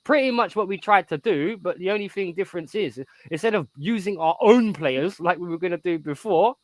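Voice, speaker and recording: A man in his thirties rants about football on an online voice call. His voice sits high for a man and sounds dry and close, with no room around it. He rambles on emphatically, checking in with "right?" again and again. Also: lively intonation, brisk, dry recording, poor audio. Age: 30s. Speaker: guest on a live stream. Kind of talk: casual football chat.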